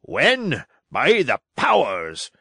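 A man's voice: mid-range voice, huge pitch swings, very slow, vocal fry, bright, forceful tone, breathy edge, no room sound, clean studio sound, heavily acted. A man reading a storybook, voicing a shrewd, domineering pirate sea cook with salty, emphatic sailor talk. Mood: scornful.

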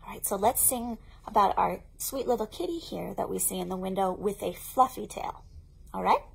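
A woman in her thirties presenting a storytime for young children in an animated, sing-song, encouraging way. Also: mid-range voice, moderate pace, creaky, slightly rough, low-quality recording. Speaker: children's librarian hosting an online storytime. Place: small indoor play tent set up for recording.

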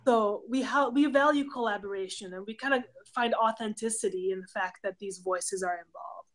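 A woman's voice: medium pitch, moderate pace. A woman in her thirties from Hungary with a Hungarian accent, a professor giving thoughtful, example-filled explanations as a guest on a video call from a home office. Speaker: professor of pastoral theology, guest. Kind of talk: casual interview conversation. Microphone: webcam on a video call.

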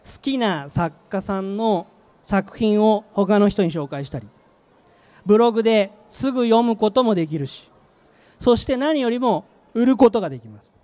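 Animated, high-pitched man presenting. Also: moderate pace. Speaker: company manager presenting a product. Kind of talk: live trade-show presentation with slides. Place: presentation stage at an exhibition venue. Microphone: handheld microphone.

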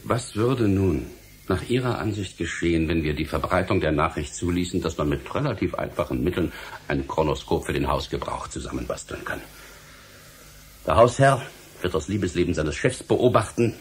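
A low-voiced, stern, exasperated official. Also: male voice, expressive, normal speed, slight background noise. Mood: indignant, warning. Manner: rhetorical, emphatic, theatrical. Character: stern, exasperated government official lecturing with grave authority. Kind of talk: German radio play acting.

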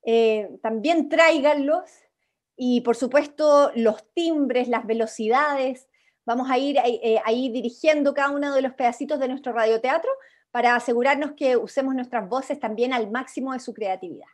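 A young Chilean-accented woman with a high-pitched voice leads an online workshop. She is informal and encouraging as she gives instructions over a video call. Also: moderate pace. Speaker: workshop host. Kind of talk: interactive online creative workshop.

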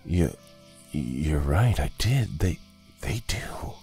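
A man's voice: very deep voice, sing-song, extremely slow, gravelly, a little mellow, soft background music, heavily acted. A man voicing a timid, guilt-ridden younger brother who stammers and pleads in a horror story. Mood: timid, guilty. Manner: stammering, apologetic.

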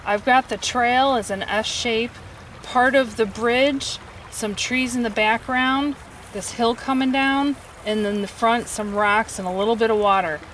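A woman in her forties teaching a quick watercolor sketch outdoors, explaining each step in a relaxed, encouraging way. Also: fairly high pitch. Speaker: watercolor instructor. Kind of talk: outdoor painting demonstration. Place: outdoors by a stream. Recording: faint stream noise behind.

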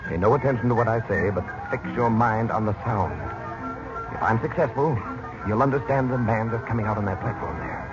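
An actor playing a solemn, secretive magician uncle in an old radio serial, speaking in a grave, measured, cryptic way. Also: male voice, lowish voice, natural voice. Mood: intent, solemn. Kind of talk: 1930s-40s radio serial acting. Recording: muffled old radio recording with music.

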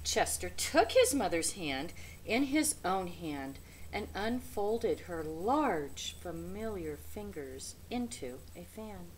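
Slow, expressive woman reading a storybook. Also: fairly low pitch, a little hiss or hum. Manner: expressive, deliberate. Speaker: storybook reader. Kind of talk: children's read-aloud narration. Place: small indoor room with bookshelf.

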